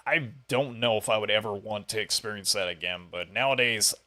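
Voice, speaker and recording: Bright-voiced man hosting a casual podcast. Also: fairly low pitch, fairly quick. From United States. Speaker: podcast host. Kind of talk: casual chat, interview.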